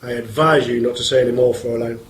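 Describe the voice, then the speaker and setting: A man's voice: medium pitch, fairly even intonation, a little hiss or hum, natural voice. A man playing a stern police inspector. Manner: firm, measured, warning.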